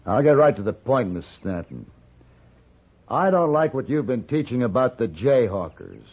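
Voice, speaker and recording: An actor playing a calm, worldly gunfighter in an old-time radio western, speaking in a measured, well-spoken, probing way. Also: male voice, medium pitch, slightly rough. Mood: firm. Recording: muffled vintage radio recording with music.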